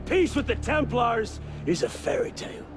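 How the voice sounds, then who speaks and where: An actor playing a bitter, fanatical rogue assassin, intense and scornful, in a video game cutscene. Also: male voice, very high-pitched, sing-song, normal speed, over music. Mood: bitter, defiant.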